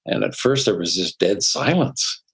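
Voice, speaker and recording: Gravelly low-voiced older man. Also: lively intonation. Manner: reflective, unhurried anecdotes with soft laughs. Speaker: psychologist guest. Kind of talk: conversational interview storytelling.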